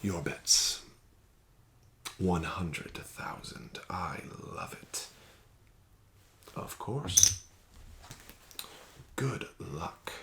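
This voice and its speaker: Male ASMR roleplay dealer: low, slow, soft and coaxing, lively rise and fall. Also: a little hiss or hum. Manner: soft-spoken, often whispering; smooth, flattering, gently coaxing. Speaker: ASMR roleplay host playing a casino dealer.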